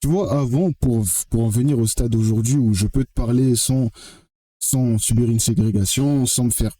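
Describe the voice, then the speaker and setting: A young man from French Guiana speaking straight to the camera in a blunt, heated monologue. His voice sits at an ordinary pitch with a dark, mellow tone. The sound is close and dry, and he keeps repeating phrases for emphasis and throwing in curses. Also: moderate pace, no echo. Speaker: vlogger.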